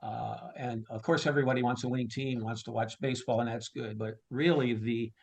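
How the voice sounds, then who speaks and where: A man's voice: mid-range voice, fairly even intonation, brisk, gravelly, breathy edge. A man who works as a sports public address announcer, giving casual, conversational interview answers.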